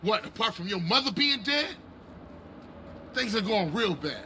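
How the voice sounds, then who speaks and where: Bossy gangster brother, sharp and high-pitched. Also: male voice, big pitch swings, unhurried, slight background noise, heavily acted. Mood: bitter. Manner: scolding, profane. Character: resentful, bossy older brother in a street gang. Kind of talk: video game cutscene acting.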